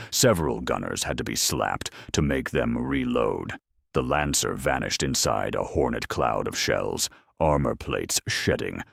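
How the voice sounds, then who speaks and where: Very deep, gravelly male narrator. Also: dry recording, clean studio sound. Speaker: audio story narrator. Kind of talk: dramatic scripted narration.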